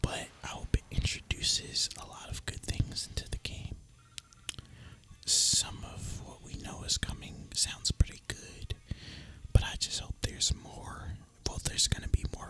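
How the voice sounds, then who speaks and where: A person speaking in a breathy, unvoiced ASMR whisper, musing casually and informally as gaming commentary. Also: poor audio. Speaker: host of an ASMR gaming channel.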